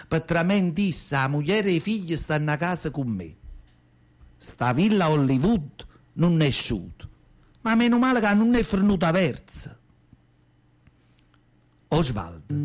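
An old Southern Italian mother in a high voice, telling her memories in a radio play over music. She has a Neapolitan accent and rambles tenderly and devoutly, confiding in listeners with rhetorical asides. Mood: plaintive. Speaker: actor in a radio monologue. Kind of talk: theatrical radio monologue storytelling.

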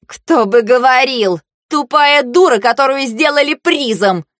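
A woman voicing a quarrelsome, hot-tempered young lady in an audiobook, shrill and snapping. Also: sing-song, normal speed, a bit bright, no echo, clean studio sound. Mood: furious.

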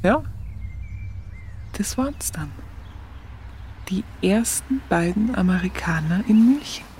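An actress playing an earthy woman, recalling wartime Munich in halting, colloquial radio play speech. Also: mid-range voice, animated, mellow, a little hiss or hum. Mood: wistful. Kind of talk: naturalistic radio play reminiscence.

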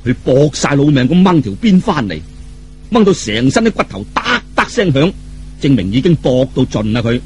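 A man's voice: mid-range voice, big pitch swings, normal speed, soft background music. A man telling a story in colloquial Cantonese, theatrical and dramatic, with vivid exclamations.

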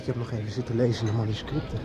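A man's voice: medium pitch, flat delivery, racing, over a noisy crowd, lightly acted. An actor playing a nervous, eager young man in a radio play. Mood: unsettled. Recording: lo-fi radio play with street noise.

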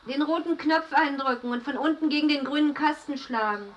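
An actress in a German radio drama playing a weary, matter-of-fact wife who talks in terse, practical sentences. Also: female voice, high-pitched, fairly quick, slight background noise, lightly acted.

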